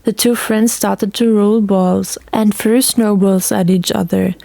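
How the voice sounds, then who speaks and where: A woman's voice: mid-range voice, fairly even intonation, a little slow, creaky, dark tone, no room sound. Woman narrating a story, dry close sound.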